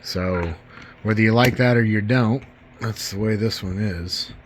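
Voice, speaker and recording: A middle-aged man with a fairly low voice gives a casual, hands-on product demo. He sounds folksy and keen, like a hobbyist chatting to fellow fans. There is some faint noise in the recording. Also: measured pace. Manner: folksy, enthusiastic, informal. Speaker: hobbyist video host.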